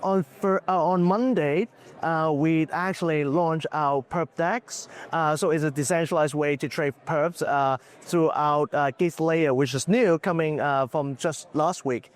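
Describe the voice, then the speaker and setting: A man, a business executive, answering questions in a conference booth interview, slightly hoarse from losing his voice, talking candidly with lots of fillers. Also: a little high, steady tone, a little slow. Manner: candid, loose, full of "uh" and "like".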